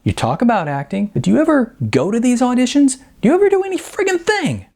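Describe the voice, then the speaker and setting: A man voicing a cocky, excitable young slacker in an audiobook, talking in brash, insistent dude slang. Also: extremely high pitch, quick pace, creaky, voice pushed up, exaggerated voice. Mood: needling. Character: excitable, cocky young male slacker dreamer, record store clerk.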